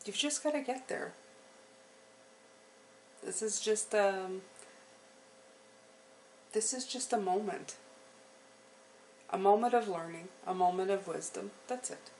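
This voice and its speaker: A woman giving an unscripted, reassuring tarot reading to her viewers, often pausing to check in with the listener. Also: medium pitch, a little mellow, slight background noise, low-quality recording. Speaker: tarot reader hosting a channel.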